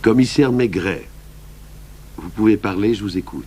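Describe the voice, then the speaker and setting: A noisy old radio drama recording of a police commissioner played by a man. He has a low voice and speaks in a measured, patient way, with quiet, stubborn firmness. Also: animated, natural voice. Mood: patient, reassuring. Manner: measured, deliberate, deferential but firm. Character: seasoned male police commissioner, calm, patient, wryly stubborn. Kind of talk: French radio drama acting.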